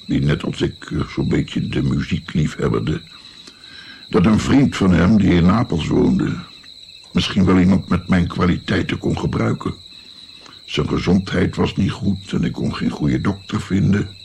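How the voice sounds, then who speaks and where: Older musician-doctor, wry hesitant reminiscence, very low voice, radio play, faint chirping background noise. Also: male voice, measured pace, creaky, a little mellow. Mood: wry, reminiscing. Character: old musician-physician recalling his naive youth to a young woman.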